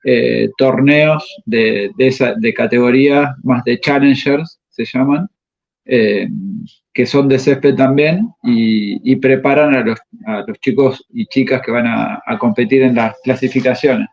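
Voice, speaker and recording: A man explains coaching in an interview, often halting to search for the right word. His voice sits at an ordinary middle pitch. The recording is a bit dull and muffled. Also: steady tone, vocal fry, a little mellow. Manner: halting, pauses often to find words. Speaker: tennis coach. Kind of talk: interview answers. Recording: slightly muffled audio.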